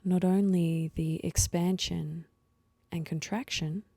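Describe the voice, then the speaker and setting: Woman, guided meditation, mellow tone, very dry close sound, soft and breathy at times, unhurried soothing phrasing. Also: fairly low pitch, steady tone, no room sound. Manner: slow, soothing instruction with pauses.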